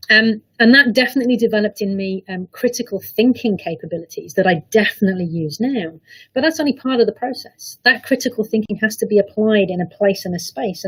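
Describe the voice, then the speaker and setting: A British woman speaks over a video call in a slightly husky, breathy voice, explaining her ideas earnestly and at length. She is a university director giving considered answers in a panel interview, with small restarts as she thinks. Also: mid-range voice, rough recording. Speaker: university director of student employability.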